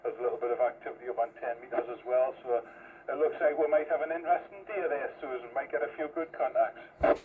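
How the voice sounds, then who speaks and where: A man's voice: medium pitch, fairly quick. Male CB operator, casual chat, thin band-limited radio sound with faint noise. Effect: two-way radio transmission. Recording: tinny, noisy CB radio transmission.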